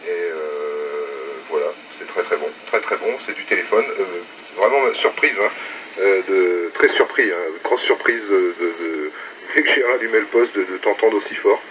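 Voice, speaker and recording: A man with a fairly low voice, chatting over amateur radio. He is enthusiastic and doubles up words for emphasis. The sound is dull, with clear noise behind him. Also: moderate pace. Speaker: amateur radio operator filming his station. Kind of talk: casual radio contact chat. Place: at home beside radio set. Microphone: phone filming, off the mouth. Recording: dull, very noisy phone video.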